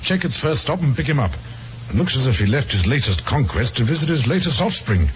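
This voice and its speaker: A man's voice: medium pitch, sing-song, brisk, over loud music, close to an everyday voice. A man playing a polite, probing police inspector with a dry wit in an old-time radio drama. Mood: brisk, wry. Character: probing, dryly wry male police inspector. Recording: faintly noisy old radio recording.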